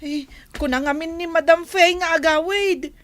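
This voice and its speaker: A woman's voice: very high-pitched, moderate pace, a bit bright. A woman playing a flustered, timid young housemaid in a comedy radio drama. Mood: flustered, pleading.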